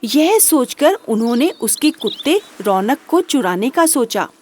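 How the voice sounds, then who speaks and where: High-voiced, slow-paced woman storyteller. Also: big pitch swings, a little mellow, a little hiss or hum. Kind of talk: scripted children's moral story narration.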